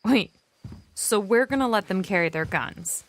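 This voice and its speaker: A woman's voice: medium pitch, animated, moderate pace, close to an everyday voice. Actress as sardonic, distrustful young woman archer. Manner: sardonic, irritated. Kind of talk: audio drama acting.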